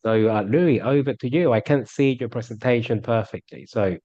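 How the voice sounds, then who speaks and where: A man's voice: mid-range voice, normal speed, mellow, dry recording. A man hosts an online panel over a video call and keeps stopping to fill gaps with small hesitations. His voice comes through dry and a little dull. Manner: hesitant, many fillers. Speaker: webinar moderator.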